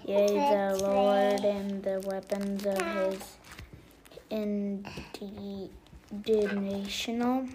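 A young reader reading scripture aloud haltingly, stumbling over the hard words. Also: medium pitch, completely flat delivery. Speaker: family member taking a turn reading. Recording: clearly noisy home recording.

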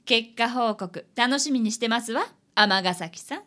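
A woman's voice: fairly high pitch, a little slow, pushed and bright. A woman acting in a radio drama as a haughty, wealthy, elegant client who speaks in refined, polite feminine language and teases coolly. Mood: coolly demanding.

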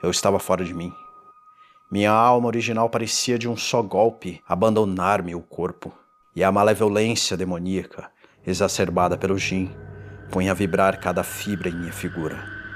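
A man playing a tormented condemned man in a gothic horror audio drama, confessing in a formal, theatrical and deliberate delivery. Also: low-pitched, steady tone, natural voice. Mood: dark, remorseful. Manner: theatrical, deliberate, ornate diction. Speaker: narrator. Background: music.

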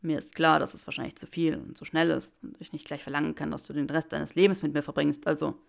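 A woman's voice: sits low, level tone, fast talker, gravelly, pitched below the performer's own voice. A woman voicing an awkward young male wizard who speaks earnestly and stammers through a tender confession. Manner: stammering, earnest, self-mocking.

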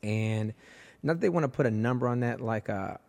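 A man hosting a casual podcast conversation, hoarse with his voice nearly gone, animated and jumping up in pitch when excited. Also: a little fast, long reverb tail. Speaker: podcast host.

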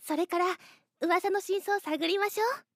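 A woman playing a devoted, protective schoolgirl with shy affection and gentle, reassuring phrasing in a voice drama. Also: very high-pitched, moderate pace, dry recording, clean studio sound. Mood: reassuring, resolute. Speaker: voice actor. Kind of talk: Japanese anime-like voice drama acting.

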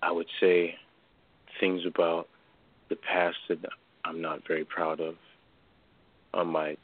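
A man in his twenties from New York, calling in to a radio show and talking hesitantly and haltingly. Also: low-pitched, little pitch movement, dry recording. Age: 20s. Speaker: caller. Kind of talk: call-in radio conversation. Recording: tinny phone line.

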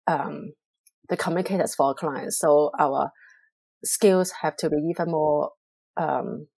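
A middle-aged woman from Hong Kong, a public relations professional, answering interview questions in a measured, reflective way with frequent pauses and fillers. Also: sits low, a little mellow, no room sound.

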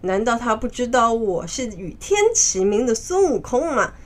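A woman voicing a proud, hot-tempered male monkey king in a children's story, loud, scornful and boastful. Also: a little high, expressive, normal speed, natural voice.

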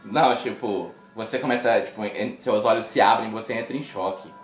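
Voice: medium pitch, brisk, slight background noise. A game master with a Brazilian Portuguese accent, narrating a tabletop game in a casual, slangy, laughing way. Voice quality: lively, laughing often, slangy. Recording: echoey room.

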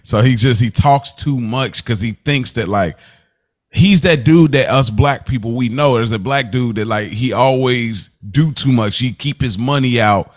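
A man's voice: mid-range voice, a little slow. A man hosting a podcast, chatting casually about a TV episode in a thoughtful, roundabout way. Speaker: podcast host. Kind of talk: casual TV recap chat.

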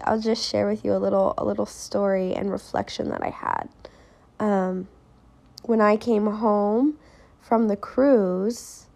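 A young woman hosting her own podcast, talking casually and reflectively, her voice a little raspier than normal. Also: medium pitch, a little mellow. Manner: chatty and reflective, quoting her own thoughts aloud. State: voice more raspy than normal. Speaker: podcast host. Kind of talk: casual solo podcast talk.

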